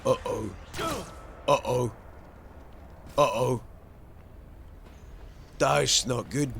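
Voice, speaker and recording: A man's voice: medium pitch, extremely slow, vocal fry, a bit bright, slight background noise. A man doing casual commentary as he plays a video game, muttering to himself. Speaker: gamer, playthrough host.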